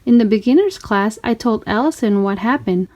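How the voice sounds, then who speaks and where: A woman narrating a children's storybook in an expressive read-aloud style. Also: fairly high pitch, normal speed.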